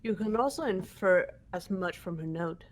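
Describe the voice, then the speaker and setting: A woman voicing a composed, analytical teenage girl in a fan-dubbed mystery game, measured and matter-of-fact. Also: fairly low pitch, lively intonation. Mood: calm, analytical.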